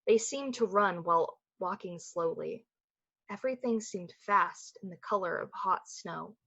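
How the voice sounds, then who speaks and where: A woman with a breathy voice delivering scripted, dramatic narration for a suspense radio play. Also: mid-range voice, unhurried, a bit bright, dull audio. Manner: dramatic, literary storytelling.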